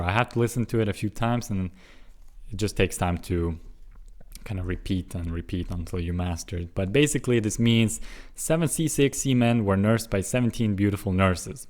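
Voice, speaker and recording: A young man from Switzerland, with English and Russian as his first languages, talks to the camera in a relaxed, rambling way. His voice sits on the low side, and he slows right down to sound out tricky foreign phrases before drifting back into easy chat. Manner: careful and halting on hard foreign words, chatty and musing otherwise. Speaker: language-learning vlogger. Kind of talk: casual solo vlog.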